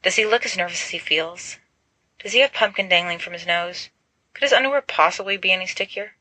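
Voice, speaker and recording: A woman reading fan fiction aloud as an expressive amateur audiobook narrator. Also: lowish voice, brisk, no room sound, low-quality recording. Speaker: volunteer audiobook reader.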